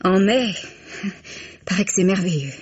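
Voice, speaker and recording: A woman's voice: mid-range voice, expressive, normal speed, husky. An actress playing a hesitant, emotional mistress in her thirties, clinging and pleading, in a French vintage radio melodrama. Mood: hesitant, coaxing. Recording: dull vintage radio recording.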